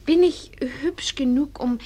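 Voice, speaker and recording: An actress playing a bright, eager but self-doubting teenage schoolgirl with an earnest, pleading manner in a German radio drama. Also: high voice, measured pace.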